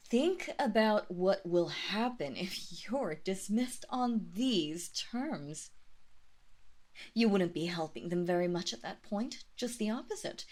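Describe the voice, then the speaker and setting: An aristocratic vampire mistress, performed by a woman for a roleplay audio drama. She talks very slowly in a slightly low register, every phrase formal and measured. Her manner is condescending and quietly threatening, often sinking into a breathy whisper. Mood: coaxing, menacing. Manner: slow, deliberate, formal, condescending. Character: imperious female vampire mistress, coolly menacing aristocrat.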